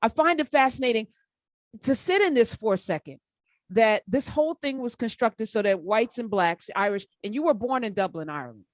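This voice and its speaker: A woman hosting a radio talk show, chatting in an animated, rambling way with quick asides. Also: a little high, dry recording. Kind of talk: casual talk radio interview.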